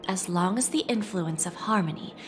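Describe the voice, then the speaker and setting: A woman voicing a poised, calculating senior executive in a video game, breathy and unhurried, coaxing like a mentor. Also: fairly low pitch, slight background noise, natural voice. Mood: calculating, composed. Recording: background music behind voice.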